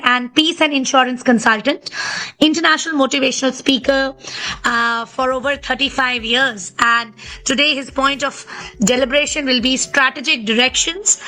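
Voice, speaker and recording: A woman with a high, bright voice acts as conference host. She gives formal introductions in a polite, slightly halting way. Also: rough recording.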